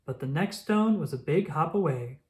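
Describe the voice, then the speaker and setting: A woman reading a children's book aloud as an expressive storyteller. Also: deep voice, moderate pace, poor audio.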